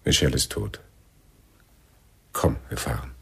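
Cold militant boss, super deep voice, terse, radio play. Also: male voice, measured pace, a bit bright, breathy edge, full character voice. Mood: cold, accusing. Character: cold, controlled male militant leader. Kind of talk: radio drama acting.

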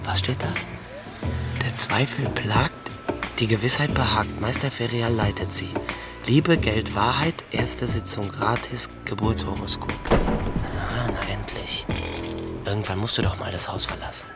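A man's voice: fairly low pitch, a little slow, loud music behind the voice. A man playing a restless, mouthy young petty thief in a German radio drama. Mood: musing.

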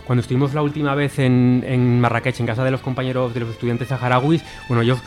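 Earnest activist guest. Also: male voice, medium pitch, flat delivery, fast, darkish tone, faint music behind. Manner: earnest, repeats words while thinking. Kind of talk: radio interview.

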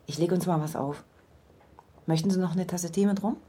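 A woman's voice: a little fast, mellow, a little hiss or hum, natural voice. An actress playing a forthright middle-aged widowed landlady in an intimate radio play. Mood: flirtatious. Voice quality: low, husky, creaky. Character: forthright widowed landlady, fond of rum tea.

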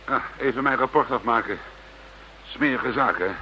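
An actor playing a steady investigator in a radio drama, plain-spoken. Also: male voice, mid-range voice, normal speed, slight background noise. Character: steady, loyal male investigator. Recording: dull lo-fi radio drama recording.

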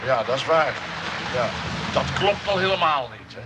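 A man's voice: fairly high pitch, lively intonation, normal speed, close to an everyday voice. Actor as flustered gullible man, swooping intonation. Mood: confiding, gullible. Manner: flustered, muttering repeated ohs and yeses. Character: gullible, hesitant middle-aged bachelor shopkeeper, easily flustered. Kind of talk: Dutch comic radio play acting. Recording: noisy old radio play recording.

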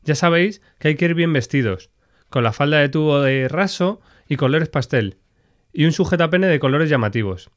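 A man's voice: fairly high pitch, animated, moderate pace, lightly acted. An actor playing a fed-up male protest organiser in a scripted satirical audio drama, with music behind. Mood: conspiratorial, wry.

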